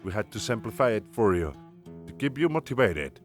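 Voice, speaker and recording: A man playing a stern, solemn older vampire-slayer elder, speaking gravely and formally in a cinematic audio roleplay. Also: lowish voice, big pitch swings, a little fast, soft background music.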